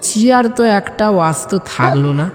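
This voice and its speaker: A man's voice: sits high, lively intonation, normal speed. A man narrating an audio story as host, emphatic and repeating phrases for effect. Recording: long reverb, clear vehicle-like background noise.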